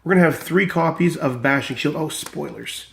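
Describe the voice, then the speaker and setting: Enthusiastic male deck reviewer, slightly high voice, still recovering from illness, chatty and rambling. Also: moderate pace, gravelly, slight background noise. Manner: enthusiastic, rambling with many fillers. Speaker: card game deck reviewer. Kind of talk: casual deck walkthrough.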